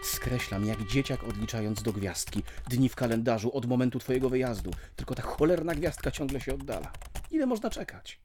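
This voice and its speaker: A man's voice: mid-range voice, lively intonation, fairly quick, darkish tone, a little hiss or hum, natural voice. A man playing a lonely, irritable husband who grumbles sardonically to himself, in a radio play. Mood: bitter longing. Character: lonely, irritable husband missing his absent wife. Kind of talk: Polish radio play acting, dialogue and monologue. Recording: music under the voice.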